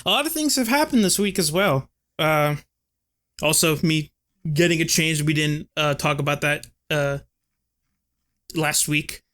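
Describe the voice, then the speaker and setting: A man's voice: on the high side, a little slow, long pauses, fairly bright tone. A man hosting a podcast in informal, sweary casual conversation. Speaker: podcast host. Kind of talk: casual chat.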